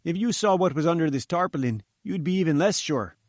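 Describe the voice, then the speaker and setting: A man's voice: a little high, animated, brisk, darkish tone, no room sound, studio quality, pitched above the performer's own voice, lightly acted. A man voicing a nervous, wary chief engineer. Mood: anxious. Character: nervous middle-aged male chief engineer.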